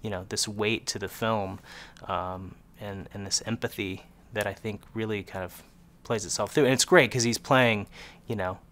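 A man in his thirties with a mid-range voice speaks earnestly in a seated press interview. He explains things step by step and fills his pauses with ums and you-knows. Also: fairly low pitch, steady tone, a bit bright. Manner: earnest, explanatory, with frequent fillers. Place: film set interview, indoors.

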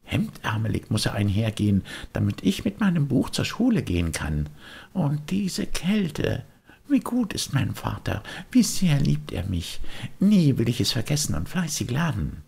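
A man's voice: mid-range voice, wildly swooping pitch, unhurried, creaky, darkish tone, husky, voice pushed up, exaggerated voice. A man voicing a naive, eager little wooden puppet boy who chatters impulsively in a children's storybook. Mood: eager, dreamy.

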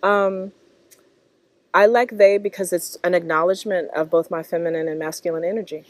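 Low-voiced American woman, reflective. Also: steady tone, no echo. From North Carolina, US. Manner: thoughtful, halting, often checks in with "right?". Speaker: interviewee. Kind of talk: reflective personal interview answers. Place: outdoors in a leafy park.

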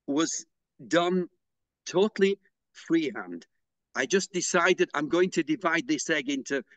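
Man, slightly higher voice, dry sound, hesitant, video call interview. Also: a bit bright, no echo, low-quality recording. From England. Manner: hesitant, with fillers and restarts. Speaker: pysanky artist and interviewee. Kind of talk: unhurried explanatory interview talk.

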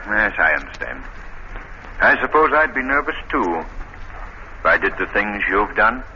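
An actor playing a courteous, composed gentleman in an urbane, polite manner, in 1940s radio style. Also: on the low side, sing-song, measured pace. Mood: curious, polite. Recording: noisy, muffled old radio recording.

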